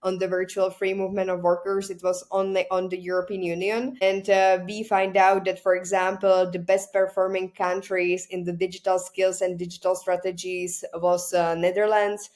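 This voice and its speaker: Czech-accented woman host, halting. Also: fairly low pitch, little pitch movement, darkish tone. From Czech Republic. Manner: hesitant, many "uh" fillers, searching for words. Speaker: podcast host. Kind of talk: casual interview chat.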